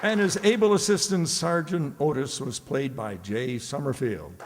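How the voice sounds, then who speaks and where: A man's voice: mid-range voice, moderate pace, crowd noise. A man announcing the cast credits of a radio play to a live audience. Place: reverberant hall before a live audience. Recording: noisy live hall with audience.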